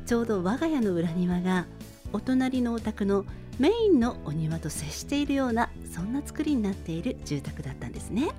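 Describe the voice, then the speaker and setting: Lady doing a gentle bedtime radio show, warm relaxed tone, pitch moves around a lot, bit of music under her. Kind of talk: scripted solo radio narration and talk.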